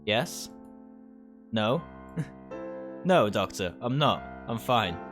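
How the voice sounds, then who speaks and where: Curt, defensive young male patient read by a streamer, visual novel role, lively intonation over faint music. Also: on the low side, extremely slow, exaggerated voice.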